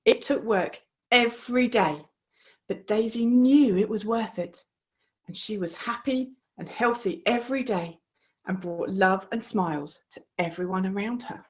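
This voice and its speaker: A woman reading her children's storybook aloud in an expressive, encouraging way. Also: mid-range voice, measured pace. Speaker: author reading her own book.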